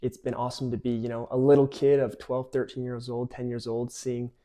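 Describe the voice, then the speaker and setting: Young man, early twenties, American accent, mellow timbre, casual interview storytelling. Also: medium pitch, little pitch movement, fairly quick. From California, United States. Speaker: college soccer player.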